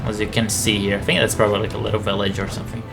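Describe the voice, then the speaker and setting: A man's voice: on the low side, little pitch movement, racing, gravelly, loud music behind the voice. A man giving casual, rambling video game commentary, thinking aloud in a conversational way.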